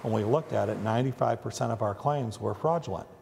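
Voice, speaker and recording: A man from the Chicago area, a factory owner, talking deliberately in an interview with frequent ums and uhs. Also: on the low side, gravelly, darkish tone, in a large hall, slight background noise. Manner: deliberate, with many fillers. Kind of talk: interview soundbite.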